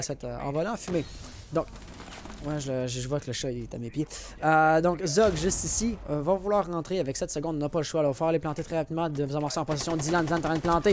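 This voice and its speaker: A man's voice: fairly high pitch, a little mellow. A man with a Quebec French accent doing live esports commentary, informal and colloquial, mixing in English gaming slang. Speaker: esports caster. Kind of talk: live match commentary with casual asides to chat. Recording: clear background noise with clicks and gunfire. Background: video game gunfire and clicks.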